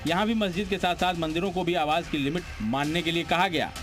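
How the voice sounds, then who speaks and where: High-pitched man narrating news over music. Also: fast talker, a bit bright. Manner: dramatic, punchy delivery. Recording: music bed behind the voice. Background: prominent background music.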